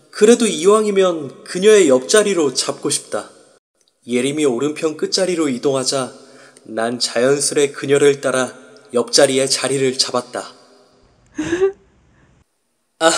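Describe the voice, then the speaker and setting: A man narrating as a wry, musing young man in first-person inner monologue. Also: a little high. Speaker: narrator and lead.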